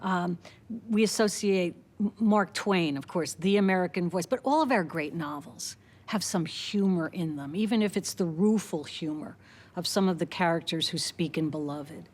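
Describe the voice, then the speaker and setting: A woman's voice: lowish voice, moderate pace, a little mellow. A woman giving literary commentary in a documentary interview, conversational and fond of rhetorical questions.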